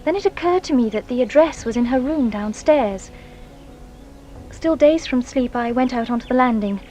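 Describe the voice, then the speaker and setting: A woman's voice: fairly high pitch, animated, moderate pace, warm tone, faint music behind, natural voice. An actress playing an anxious, guilt-ridden young Englishwoman giving testimony in a radio drama, with a hesitant, dramatic manner. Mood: uneasy recollection.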